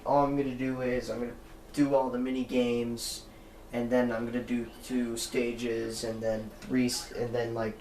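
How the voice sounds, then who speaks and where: A teenage boy hosting his own gaming channel, chatting casually and rambling as he corrects himself mid-sentence. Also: medium pitch. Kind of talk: casual let's-play chat. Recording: echoey room with faint background noise.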